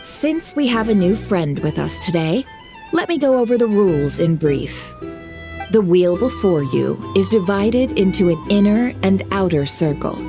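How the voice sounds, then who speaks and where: An actress playing a composed, shrewd and secretive woman in a video game, speaking in poised, formal, measured phrases. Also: mid-range voice, lively intonation, music in the background. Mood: poised, explanatory. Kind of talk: video game story dialogue.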